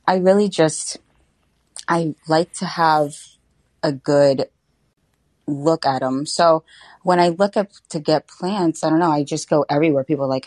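A young woman chats as a podcast guest, her voice low for a woman. She talks in a bubbly, informal way, with lots of "like" and quick asides. The sound is dry and close to the mic. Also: darkish tone, dry recording. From Florida. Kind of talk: casual interview chat.

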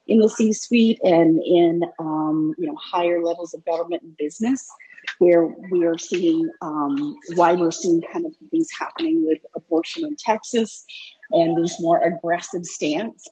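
A woman caller with a very raspy, dark voice speaks hesitantly with frequent "um"s, a little low in pitch. The sound is dry and close. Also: dry recording, low-quality recording. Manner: hesitant, many "um"s. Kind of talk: casual panel discussion.

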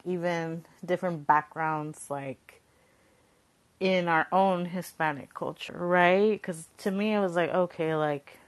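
A young woman from Southern California, reflecting casually and conversationally, peppering her talk with "like" and checking in with "right?". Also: low voice. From Southern California, Coachella Valley. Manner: informal, conversational, frequent 'like' and tag 'right?'. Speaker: podcast speaker.

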